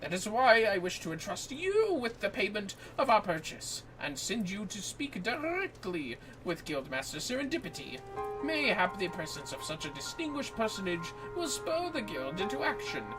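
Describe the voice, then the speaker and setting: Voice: medium pitch, big pitch swings, moderate pace, music in the background, close to an everyday voice, rough recording. A streamer voicing a courteous, formal scholarly man from a video game, with theatrical old-fashioned diction. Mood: formal, concerned. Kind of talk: video game dialogue read aloud in character.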